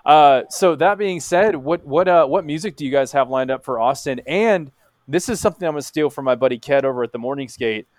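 A man's voice: fairly high pitch, a little fast, dry recording. A man hosting a podcast, asking questions in a loose, easygoing way with lots of fillers. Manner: easygoing, filler-heavy, chatty. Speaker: podcast host. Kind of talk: casual podcast interview.

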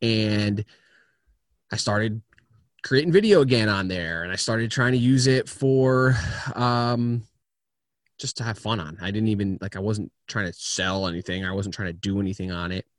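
A man talking casually as a guest on a video-call interview from home, chatty and lively as he tells stories about himself. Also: on the low side, no echo, studio quality. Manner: chatty storyteller, quick and animated.

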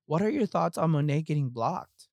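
A man's voice: fairly high pitch, lively intonation, normal speed, gravelly, a little mellow, no echo. A man hosting a casual pop-culture recap podcast, talking in sassy, emphatic slang with plenty of swearing. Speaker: podcast host.

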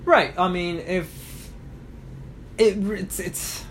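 A man's voice: sits high, fairly even intonation, extremely slow, a bit bright, slight background noise. A man in a casual conversation, thinking aloud with frequent restarts and tag questions like "right?". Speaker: conversation partner. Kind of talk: casual chat.

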